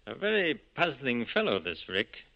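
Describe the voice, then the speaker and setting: A man playing a dignified, courteous gentleman in a 1940s radio drama, formal and polite. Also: medium pitch, huge pitch swings, normal speed, gravelly, noisy background. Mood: courteous, curious. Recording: muffled old 1940s radio recording.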